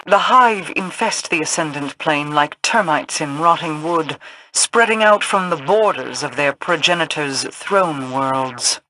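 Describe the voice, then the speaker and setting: An actress playing a regal, imperious, cold queen in a video game, speaking formally and with measured haughtiness. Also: low voice, sharp tone, a little hiss or hum, poor audio.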